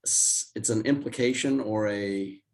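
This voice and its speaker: An older man with a hoarse, raspy voice while recovering from illness, explaining market charts as a guest on a video call. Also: a little mellow. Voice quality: raspy, deeper than usual. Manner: measured, explanatory, with restarts. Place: home office indoors.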